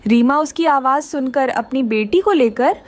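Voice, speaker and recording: A woman's voice: sits high, lively intonation, normal speed. A woman giving scripted narration for a children's cartoon story.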